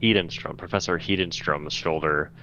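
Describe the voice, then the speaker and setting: A man's voice: sits low, steady tone, normal speed, bright, forceful tone. A man playing a tabletop game, talking casually and hesitantly as he feels his way through each sentence. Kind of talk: casual chat. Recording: dull lo-fi sound, faint noise.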